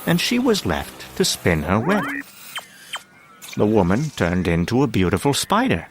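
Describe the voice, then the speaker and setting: A grandiose, menacing male industrialist performed by a voice actor in a comic-book drama. His voice is low and highly animated, with deliberate dramatic pauses. A faint noisy ambience sits behind him. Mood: theatrical storytelling. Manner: theatrical storytelling with deliberate pauses.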